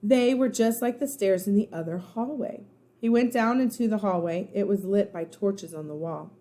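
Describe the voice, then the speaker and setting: A woman, a first grade teacher, narrating a children's storybook in an expressive, suspenseful, dramatic way. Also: medium pitch. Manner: suspenseful, dramatic, pauses to explain words.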